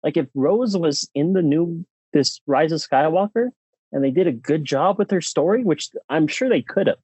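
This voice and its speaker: A man's voice: a little high, animated, normal speed, darkish tone, slightly rough, no echo, low-quality recording. A man co-hosting a casual film discussion podcast, speaking in an opinionated, emphatic way. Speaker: podcast co-host.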